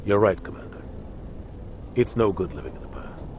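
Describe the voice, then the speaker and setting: A grave older navy captain in a science fiction game. The voice is deep and measured, bitter yet composed, over a faint ship ambience. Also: male voice, expressive, slight background noise. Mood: resigned. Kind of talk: video game voice acting.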